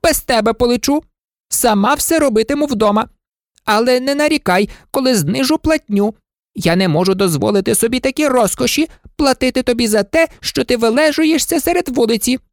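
A woman's voice: fairly high pitch, lively intonation, brisk, no room sound, studio quality, pitched above the performer's own voice. A woman voicing a bossy, stingy old woman fairy who scolds and gives orders. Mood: angry scolding. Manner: scolding, commanding.

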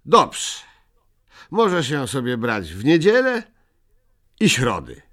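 Man doing a young creature voice, high, bright, eager, proud, firm, lively, storybook. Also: slow pace, full character voice. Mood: cheerful, firm. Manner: bright, eager, decisive exclamations. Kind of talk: audiobook character voice.

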